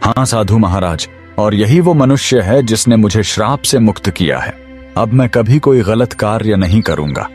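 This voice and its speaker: Low-voiced commanding king, acted by a man. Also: animated, normal speed, a little mellow, lightly acted. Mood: repentant. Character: proud king, commanding, humbled by a curse. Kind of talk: animated moral story dialogue. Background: music.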